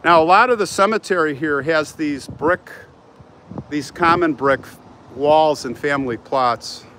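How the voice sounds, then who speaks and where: An older American man hosts a casual live stream, chatting as he walks and answering viewers. His voice sits a little high and has a bright, forceful edge. He is recorded outdoors on a handheld phone, with faint urban noise around him. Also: unhurried. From United States. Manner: chatty, jumping between remarks while walking. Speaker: vlog host. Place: outdoors in a large open cemetery. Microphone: handheld phone at arm's length. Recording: noisy outdoor urban ambience.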